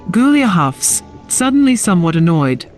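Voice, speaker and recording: A woman's voice: on the low side, sing-song, normal speed, darkish tone. A woman reading scripted story narration for an audio drama. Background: music.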